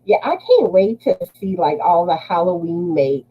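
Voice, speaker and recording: A woman's voice: on the low side, big pitch swings, moderate pace, breathy edge, narrow, tinny audio. A woman with a Southern US accent hosting a casual livestream chat, laughing often as she greets viewers by name. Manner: laughs often while reading chat names. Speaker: livestream host.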